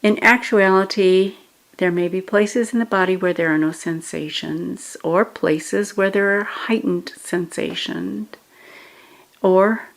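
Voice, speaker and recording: A woman guiding a meditation, giving measured instructions in short phrases with pauses between them. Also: lowish voice, creaky. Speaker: meditation guide. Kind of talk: guided meditation narration.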